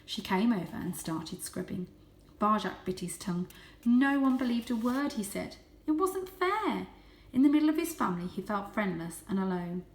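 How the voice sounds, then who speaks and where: Expressive woman storyteller in an echoey room. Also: medium pitch, normal speed. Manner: expressive, hushed for suspense, lingering on key words. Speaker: storyteller reading a children's book. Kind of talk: dramatic storybook narration. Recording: roomy, echoey sound.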